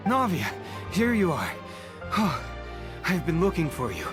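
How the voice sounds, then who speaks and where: A man's voice: fairly high pitch, wildly swooping pitch, measured pace, over music. A man playing an earnest, worried guard in a video game, speaking with urgency. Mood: urgent. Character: earnest, worried male guard bringing news.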